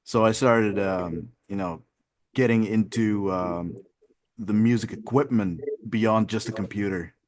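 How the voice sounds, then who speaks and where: A man in his twenties, a guest musician on a video call, talking in a relaxed, rambling way with many fillers and easy laughs. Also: fairly low pitch, measured pace. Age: 20s. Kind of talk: casual interview chat.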